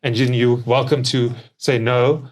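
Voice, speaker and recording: A man's voice: fairly low pitch, brisk. A young adult man with a South African accent, chatting casually as a company co-founder on a podcast. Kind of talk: casual conversational podcast chat.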